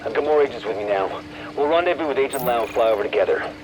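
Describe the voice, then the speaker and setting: A man playing a brisk field commander in a video game, with a clipped, authoritative military delivery. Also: mid-range voice, expressive, natural voice, low-quality recording. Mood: commanding, approving. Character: brisk male field commander giving orders and praise. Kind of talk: video game cutscene acting.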